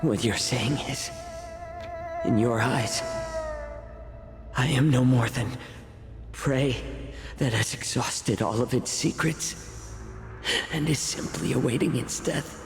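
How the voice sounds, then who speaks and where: A man playing a composed, wry fox-folk healer in a video game, speaking in a measured and deliberate way. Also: mid-range voice, roomy sound, in a large hall, soft background music. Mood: strained defiance. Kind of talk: dramatic video game voice acting.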